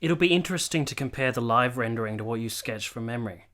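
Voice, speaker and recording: A man playing a devoted army captain in a period radio play, speaking in a measured, articulate, reflective way. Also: mid-range voice, lively intonation, a bit bright, natural voice. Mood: playful, affectionate. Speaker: narrator and lead character. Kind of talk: radio play acting with reflective scripted narration.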